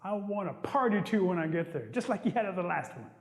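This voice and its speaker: A man's voice: high-pitched, sing-song, fast, roomy sound, in a large hall. A man voicing a whiny, lovelorn possum in an animal folktale, grumbling and exclaiming plaintively. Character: whiny, put-upon, lovelorn male possum.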